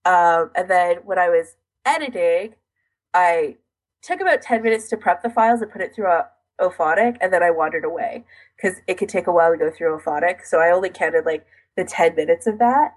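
A woman's voice: fairly low pitch, animated, fairly quick, breathy edge, poor audio. A woman co-hosting a podcast in casual chat, recounting things in detail with a self-deprecating streak. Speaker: podcast co-host.

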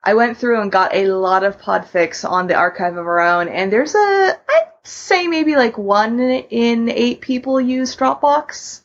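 A woman co-hosting a podcast, giving announcements and chatting casually in a husky voice. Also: on the high side, a little slow, hardly any pauses, lo-fi sound. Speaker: podcast host.

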